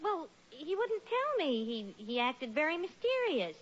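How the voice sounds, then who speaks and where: An actress playing an exasperated, no-nonsense housewife with a brisk, scolding manner in 1940s radio comedy. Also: female voice, high-pitched, very animated, a bit bright, full character voice. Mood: puzzled, impatient. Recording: muffled old radio recording, faint noise.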